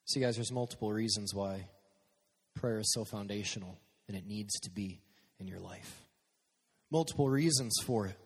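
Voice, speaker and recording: A man preaching a casual, energetic sermon to young people on a stage microphone, dropping to quiet prayer at times. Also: on the low side, moderate pace, slightly rough, long reverb tail. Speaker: youth pastor. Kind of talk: youth sermon. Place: church hall with a live sound system.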